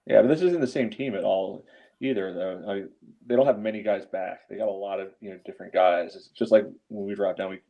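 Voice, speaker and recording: A man's voice: on the low side, fairly quick. A man on a sports podcast debating casually, in choppy phrases peppered with small fillers. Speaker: podcast co-host. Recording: dull, lo-fi audio.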